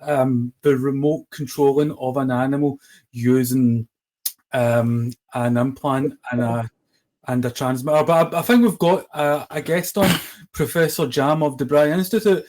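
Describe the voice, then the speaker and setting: A man's voice: mid-range voice, measured pace, darkish tone. Man hosting a chat show. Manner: hesitant, lots of ums and restarts. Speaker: podcast host. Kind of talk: casual conversation.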